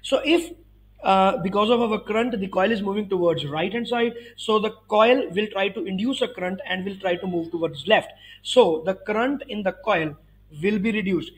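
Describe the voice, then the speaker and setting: A man's voice: sits high, moderate pace. A man in his thirties giving an explanatory physics tutorial, emphatically repeating key phrases. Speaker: physics teacher.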